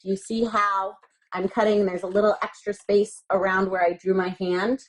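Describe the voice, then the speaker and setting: A woman's voice: medium pitch, little pitch movement, moderate pace, rough recording. A woman teacher giving step-by-step craft instructions to young children, speaking simply and encouragingly with repeated reminders. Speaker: elementary school teacher.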